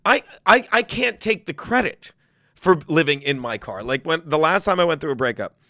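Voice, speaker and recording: A fifty-something man tells loose, rambling stories on a podcast. His voice sits fairly high and bright. He laughs often and acts out the people he quotes. The sound is close and dry, with no room around it. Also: lively intonation, normal speed, dry recording. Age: 50s. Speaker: guest comedian. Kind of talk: casual podcast chat.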